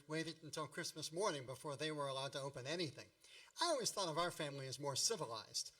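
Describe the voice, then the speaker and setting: A man's voice: on the high side, sharp tone, poor audio. A man playing a nostalgic grown narrator recalling his boyhood in a radio play, wry and tongue-in-cheek. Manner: storytelling, tongue-in-cheek, ornate wording.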